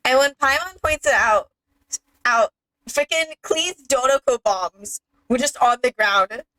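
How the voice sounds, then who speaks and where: A woman's voice: sits high, unhurried, pushed and bright, dry recording, rough recording. A young woman streaming a game, chatting casually and reading in-game text aloud.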